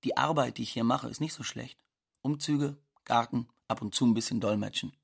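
An actor playing a cocky, scornful young migrant odd-jobber in a radio drama monologue, blunt and offhand, with a gravelly, husky voice. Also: male voice, mid-range voice, brisk.

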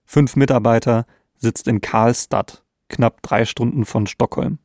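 A man's voice: mid-range voice, steady tone, measured pace, darkish tone, no echo, clean studio sound. A man co-hosting an investigative podcast in a conversational narrating style. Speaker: podcast co-host.

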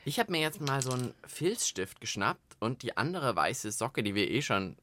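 A man's voice: mid-range voice, very animated, normal speed, pushed and bright, no room sound. A man co-hosting a children's podcast in a studio, bantering casually while trying out experiments.

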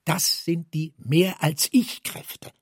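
A male narrator reads literary text in a fairly high register for a man. The voice is husky and recorded close and dry, without room sound. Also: fairly even intonation, slow, no echo. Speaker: narrator reading literary prose.

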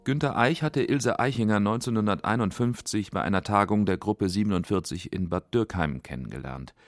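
A man's voice: lowish voice, fairly quick, dry recording, faint music behind. A man reading scripted narration for a radio literary documentary.

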